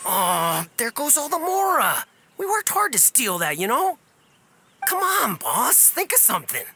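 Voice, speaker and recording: An actor playing a whiny, excitable, dim bandit henchman in a video game. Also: extremely high pitch, unhurried, husky, full character voice. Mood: whining. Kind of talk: video game cutscene voice acting.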